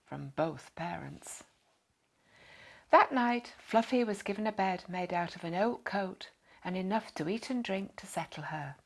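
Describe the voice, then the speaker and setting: A woman narrating a children's story in an expressive, scripted storytelling style. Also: mid-range voice. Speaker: storyteller reading a short story.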